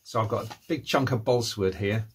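A man in his fifties presenting a casual hands-on how-to vlog, informal and low-key, thinking aloud as he works. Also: brisk, breathy edge. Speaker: hobbyist presenter. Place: at home, indoors and in a workshop. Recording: noisy home-made recording with clicks.

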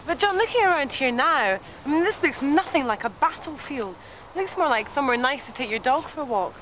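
A woman's voice: high voice, animated, brisk, fairly bright tone, slight background noise. A Scottish woman presenting in the style of scripted educational television narration.

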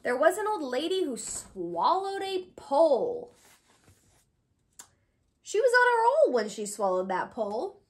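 A woman around her thirties reading a children's picture book aloud, expressive and sing-song, with drawn-out pauses for young listeners. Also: high-pitched. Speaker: read-aloud host, tutor. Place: small indoor room with bookshelves.

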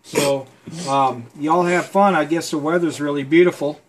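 A man's voice: fairly high pitch, fairly even intonation, normal speed, noisy background. A man chatting casually as an amateur radio operator. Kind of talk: casual ham radio conversation. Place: small indoor radio room.

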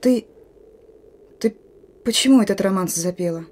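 An actress in a radio play voicing a gruff but emotional woman commander of a wartime partisan detachment. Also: medium pitch, moderate pace, darkish tone, close to an everyday voice. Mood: urgent, demanding. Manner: commanding, urgent, broken phrases.

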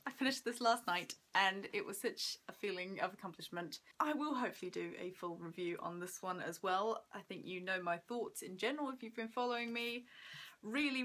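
A woman in her twenties giving an enthusiastic, expressive book review in casual chat to camera at home. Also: mid-range voice. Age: 20s. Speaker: book vlogger. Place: small room at home by a window.

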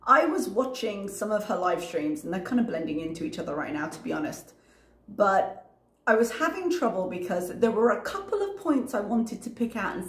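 A tired woman in her thirties with a slight cough, chatting casually to camera as a reaction channel host in a rambling, self-mocking way. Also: mid-range voice. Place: small room, indoors. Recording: roomy echo.

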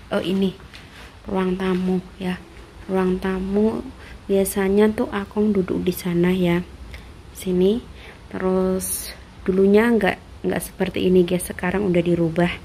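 Soft-voiced young woman on a phone tour. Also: mid-range voice, slight background noise, low-quality recording. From Indonesia. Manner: chatty, repeats "seven seperti ini", often drops to a whisper. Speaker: domestic worker giving a house tour. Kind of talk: casual house-tour vlog. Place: apartment in Taiwan, indoors. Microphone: handheld phone filming while walking.

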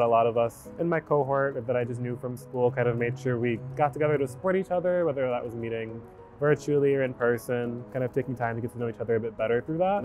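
A calm, thoughtful young man art student interviewed in an outdoor campus courtyard. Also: mid-range voice. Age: young adult. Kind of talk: interview answers.

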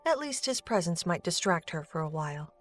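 A woman's voice: fairly low pitch, sing-song, brisk, faint music behind. A woman giving scripted narration for a storybook-style audio drama.

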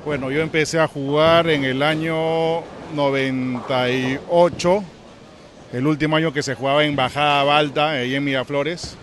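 Male veteran athlete, middle-aged, Peruvian accent, slightly high pitch, casual interview with faint noise. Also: steady tone, normal speed, a bit bright. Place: large indoor bowling alley. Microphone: handheld interview microphone. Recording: faint bowling alley noise.

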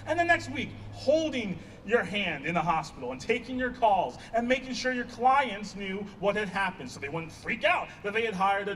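High-pitched, exasperated man acting on stage. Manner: emphatic, sarcastic, sharp-tongued. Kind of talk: staged play reading. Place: small outdoor stage by a block wall. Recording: distant outdoor stage pickup, faint ambient noise.

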